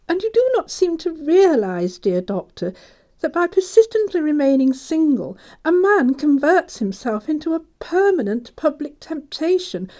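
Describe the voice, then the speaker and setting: A mellow, high-pitched spinster governess acted by a woman in an audio stage comedy. She lectures primly and coyly, her pitch swooping widely. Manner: pompous, sententious, coy. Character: prim middle-aged governess, moralizing yet coyly amorous spinster.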